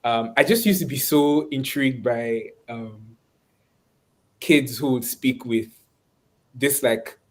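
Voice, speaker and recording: A Nigerian man speaking reflectively and conversationally in an online webinar, often pausing and restarting as he searches for words. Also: medium pitch. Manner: thoughtful, halting, searching for words. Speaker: webinar speaker, course instructor.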